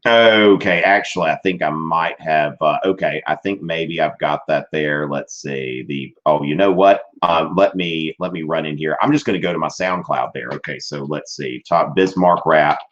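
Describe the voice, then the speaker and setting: A man's voice: a bass voice, steady tone, normal speed, few pauses, a bit bright, muffled recording, a little hiss or hum. A man in his thirties teaching a livestreamed history class from a small room, his voice strained, rambling with fillers and checking in with "okay?". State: voice strained from streaming. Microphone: webcam at desk.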